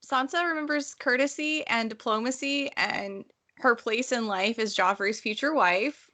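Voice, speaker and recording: Very bright, emphatic woman host. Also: on the high side, unhurried. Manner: opinionated, casually sweary, emphatic. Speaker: podcast host. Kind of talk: casual book discussion.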